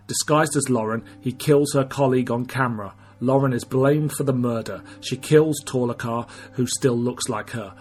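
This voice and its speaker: A British man hosting a podcast, moving between prepared notes and chat. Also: mid-range voice. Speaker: podcast host. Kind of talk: podcast talk, reading prepared notes and chatting.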